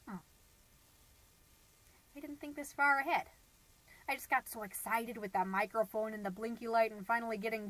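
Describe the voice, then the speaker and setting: A woman's voice: a little high, fairly bright tone, rough recording. A woman playing a chirpy, gossipy suburban stay-at-home mom who hosts a homemade comedy podcast in a folksy, rambling, self-satisfied way. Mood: rambling, chatty. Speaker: podcast host.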